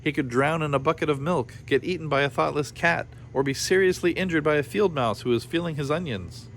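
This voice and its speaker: A man's voice: on the high side, fairly quick, fairly bright tone. A man reading a children's story aloud in a playful style, with informal asides. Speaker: storybook reader. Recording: clear background noise behind the voice.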